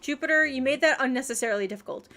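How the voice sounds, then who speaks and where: A woman's voice: high voice, very fast, pushed and bright, soft background music. A woman livestreamer giving casual gameplay commentary, muttering questions and reactions to herself as she plays. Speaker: video game streamer.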